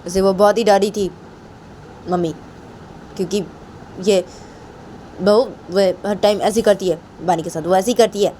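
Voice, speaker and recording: A woman's voice: mid-range voice, steady tone, a little slow, long pauses, warm tone, slight background noise, pitched below the performer's own voice, natural voice. A woman doing a rambling, earnest uncle. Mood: complaining. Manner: earnest, rambling, repeats himself. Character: adult man, an uncle, complaining to his mother.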